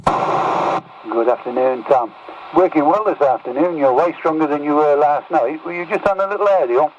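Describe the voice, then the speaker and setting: A man's voice: on the high side, normal speed. A man chatting casually as a CB radio operator, swapping signal reports over the air. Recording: muffled, distant radio with faint noise.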